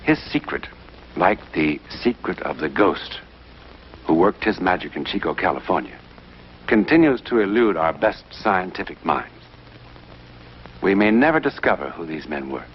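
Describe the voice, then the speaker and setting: A man with an American accent as the grave narrator of an uncanny 1950s TV mystery series, measured and portentous with dramatic pauses. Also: deep voice, big pitch swings, a little hiss or hum. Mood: grave, mysterious. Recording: dull vintage TV soundtrack.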